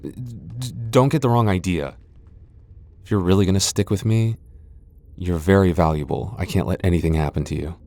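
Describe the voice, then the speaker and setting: A man acting a gruff tsundere vampire lord in a roleplay audio drama, curt and easily flustered, secretly protective, with a gravelly voice. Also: sits low, moderate pace, dark tone. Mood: stern, guarded.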